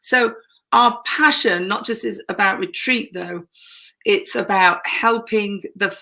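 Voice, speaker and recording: A woman's voice: medium pitch, slow, sharp tone, low-quality recording. A woman co-hosting a casual faith conversation, talking at length with many fillers, from a converted church. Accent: non-Yorkshire. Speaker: ministry leader and co-host. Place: converted church tower room.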